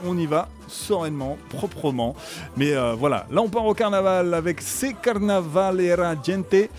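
A male radio host talks in a slightly raised voice, loose and joking, often stopping to hesitate. He sounds close to a studio microphone, with soft music under him. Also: high voice, normal speed. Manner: rambling, many hesitations, playful banter. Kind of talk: casual radio chat between songs.

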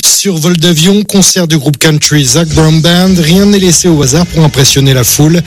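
A man's voice: on the high side, moderate pace. A man narrating a scripted radio news report.

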